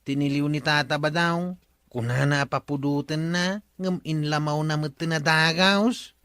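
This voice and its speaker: A man's voice: fairly high pitch, fairly even intonation, normal speed, a bit bright, no echo, clean studio sound, close to an everyday voice. A man playing a simple, cheeky village drinking buddy in a comedy radio drama. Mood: sheepish.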